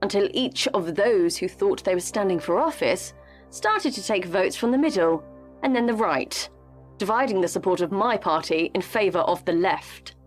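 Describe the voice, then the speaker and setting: A woman plays a shrewd operative from a rival political party in a radio drama, with music under the voice. She is knowing and insinuating, steering with pointed questions at a medium pitch. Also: expressive, moderate pace, close to an everyday voice. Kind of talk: radio play acting.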